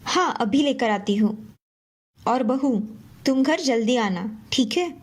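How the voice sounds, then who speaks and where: Woman doing a nagging, high-voiced traditional mother-in-law. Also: lively intonation, slow, breathy edge, heavily acted. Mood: exasperated. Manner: admonishing, disbelieving. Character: Sheila, middle-aged mother-in-law, traditional, exasperated, nagging. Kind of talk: animated moral story dialogue.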